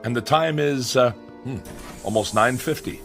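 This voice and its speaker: A man's voice: mid-range voice, unhurried, over music, natural voice. An actor playing a fussy, eccentric tech-minded man in a radio drama, speaking in a formal, pedantic, theatrical way. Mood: brisk, evasive. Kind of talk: comedic radio drama acting.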